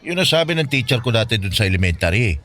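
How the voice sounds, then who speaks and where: A man's voice: mid-range voice, very animated, fast, sharp tone. A man with a Filipino accent playing a kindly, preachy older bachelor from the slums, a fatherly guardian in a radio drama. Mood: earnest, admonishing. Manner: fatherly, admonishing, colloquial.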